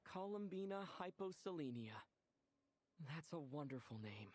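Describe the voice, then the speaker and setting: A woman voicing a kind, supportive young traveler in a video game, with an earnest, warm delivery. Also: deep voice, expressive, moderate pace. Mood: moved.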